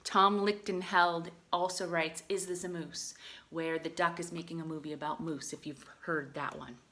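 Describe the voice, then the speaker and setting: A woman reading a picture book aloud to young children in an expressive way, adding chatty explanations and questions for her listeners. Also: on the low side, moderate pace. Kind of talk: animated read-aloud with chatty asides and questions.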